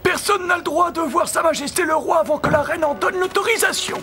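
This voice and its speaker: A man's voice: very high voice, fairly even intonation, fairly quick, pushed and bright, soft background music. A man playing an officious, nervous palace guard in a cartoon fairy tale. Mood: flustered, officious. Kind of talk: animated fairy tale acting.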